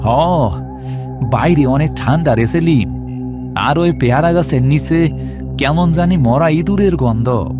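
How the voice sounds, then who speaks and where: Man as jittery night watchman, slow halting speech, highish voice, rural Bangladeshi accent. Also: music in the background, natural voice. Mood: shivering, evasive. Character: village watchman, male, boastful but jittery.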